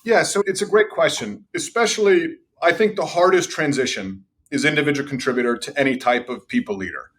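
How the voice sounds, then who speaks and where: A man's voice: a little high, brisk, hardly any pauses. A middle-aged man, a sales executive, telling confident stories from his career in a podcast interview. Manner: confident, anecdotal, says he has a loud voice. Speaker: revenue executive guest.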